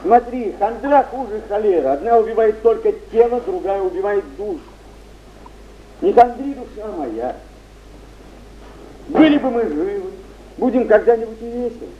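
A slightly noisy, muffled stage recording of a spirited male friend. His pitch leaps about as he urges and chides emphatically, in full theatrical manner. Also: lively intonation, normal speed, long pauses. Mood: bracing, affectionate. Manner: emphatic, exhorting. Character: spirited male friend scolding a gloomy poet. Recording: muffled old stage tape, faint noise.

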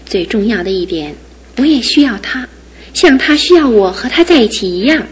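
A breathy, devoted young fiancée. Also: female voice, on the high side, very animated, normal speed, heavily acted. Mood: gently firm. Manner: earnest, softly insistent. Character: devoted young fiancée, gentle but resolute. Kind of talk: studio radio drama acting.

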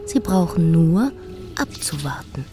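A woman's voice: lowish voice, sing-song, measured pace, music in the background. An actress playing a defiant young queen who was raised as a boy, narrating her life in a radio play monologue. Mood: hushed, musing.

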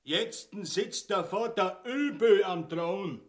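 A man's voice: high voice, takes it slowly. A man with an Austrian-Bavarian accent playing a jittery rustic peasant who passes on grim rumours in a radio play. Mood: ominous, jittery.

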